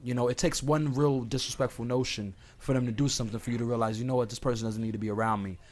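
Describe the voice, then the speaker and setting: Slightly hoarse man chatting over game noise. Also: medium pitch, steady tone, fast talker, a little mellow. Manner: informal, conversational, addresses listeners directly. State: slightly hoarse from being sick, often clearing throat. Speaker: host of an online talk series. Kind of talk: casual rambling advice chat over gameplay. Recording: clear background noise, game sounds. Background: video game playing in the background.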